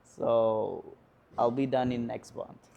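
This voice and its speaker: Casting: twenties guy, Indian accent, gravelly, mellow, halting interview answers. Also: medium pitch, fairly even intonation, highs cut off. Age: 20s. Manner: hesitant, many pauses and fillers. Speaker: interview guest. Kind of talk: casual sit-down interview.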